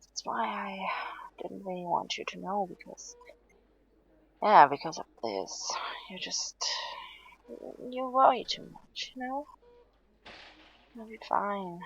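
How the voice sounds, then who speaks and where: A woman playing a weary, heat-exhausted patient in an intimate audio roleplay, halting and hesitant, downplaying things in a weak, breathy voice to soothe someone. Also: lowish voice, dull audio, full character voice. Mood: gently reassuring. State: tired, drained. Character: weary heat-exhausted female patient reassuring a worried partner. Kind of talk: intimate audio roleplay, one side of conversation.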